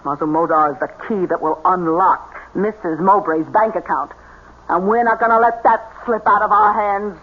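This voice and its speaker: A woman's voice: medium pitch, natural voice. An actress playing a greedy, hard, commanding female con artist in an old-time radio drama. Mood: alarmed, insistent. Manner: commanding, brisk. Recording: tinny narrow-band old radio.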